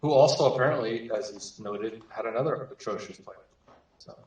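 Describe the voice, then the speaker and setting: A man's voice: medium pitch, brisk, darkish tone, lo-fi sound. A man talking sports casually as a podcast panellist, dry and matter-of-fact. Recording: echoey, slightly distant mic.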